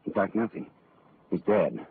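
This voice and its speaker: A man's voice: sits low, lively intonation, unhurried. An actor playing a wry, world-weary hardboiled private detective in old-time radio style, with sardonic, clipped tough-guy delivery. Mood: probing, dry. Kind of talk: old-time radio detective acting and first-person narration. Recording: muffled, noisy old radio broadcast recording.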